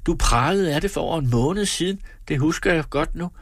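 A man voicing a sharp-tongued, scornful wife in a storybook reading, blunt and needling in manner. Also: fairly low pitch, husky, no room sound, studio quality. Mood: exasperated.

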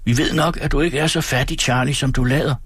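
Man playing a jeering, high-pitched street boy. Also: fairly even intonation, fairly bright tone, breathy edge, no echo, clean studio sound. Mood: taunting. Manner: calling out, goading. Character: taunting gang of street boys, jeering and cheeky.

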